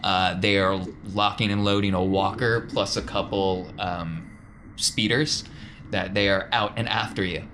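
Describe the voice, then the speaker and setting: Bright-voiced man running a tabletop game. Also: lowish voice, steady tone, a little slow. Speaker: game master. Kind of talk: improvised tabletop game narration. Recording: background noise with room ambience.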